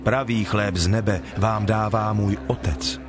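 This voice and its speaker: Guy acting calm holy teacher in bible drama, kinda deep, solemn n measured, music behind. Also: natural voice. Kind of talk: dramatized scripture reading.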